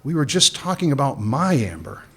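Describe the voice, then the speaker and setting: A man playing a tough smuggler boss in a radio play, husky and rough-edged. He talks in short, commanding bursts, and his voice rises and falls a lot across a wide range. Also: medium pitch, very animated, moderate pace. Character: smuggler boss Jonathan, tough but shaken.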